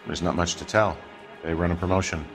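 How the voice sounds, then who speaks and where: A guarded businessman in a detective game. His voice is low, with a weary, matter-of-fact delivery. Music runs beneath his lines. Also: fairly even intonation, brisk. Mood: guarded, dismissive. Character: guarded, world-weary male promotions businessman. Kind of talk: video game cutscene acting. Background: background music.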